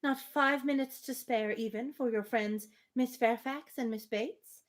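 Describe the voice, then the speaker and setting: A woman's voice: a little high, measured pace, lightly acted. A woman reading the part of a gracious, playfully teasing young lady from a novel, in polite, witty, formal speech.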